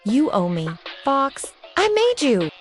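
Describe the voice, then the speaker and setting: A proud old male wolf from a storybook, as a woman narrator reads him. He boasts and gives orders in a somewhat high, very animated voice, with music behind. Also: slow, full character voice. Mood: scornful. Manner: boastful, commanding.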